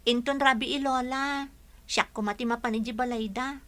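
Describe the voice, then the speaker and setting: High-voiced young woman student, acted. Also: steady tone, moderate pace, fairly bright tone, natural voice. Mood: earnest. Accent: Filipino. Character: anxious young female college student. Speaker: radio drama actor. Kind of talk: Filipino radio drama acting.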